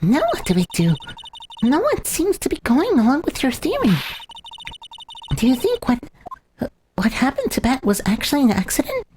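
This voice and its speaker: An anxious young sidekick from a courtroom game, voiced by a man. The voice is high and swings wildly as it frets and questions. The stream audio carries faint noise. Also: wildly swooping pitch, moderate pace, pauses often, darkish tone, full character voice. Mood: worried. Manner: worried, questioning. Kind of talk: amateur voicing of courtroom video game text.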